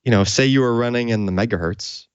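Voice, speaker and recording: A man's voice: mid-range voice, quick pace, smooth, no room sound, muffled recording. A man co-hosting a tech show, explaining technical detail off the cuff in an enthusiastic, conversational way.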